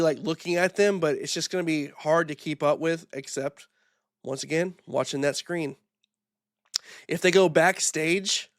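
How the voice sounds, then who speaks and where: Hoarse guy rambling on his podcast mic, kinda high voice, super dry sound, lots of ums and restarts. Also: dry recording. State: hoarse from recent illness. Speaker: podcast host. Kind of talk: solo wrestling opinion podcast.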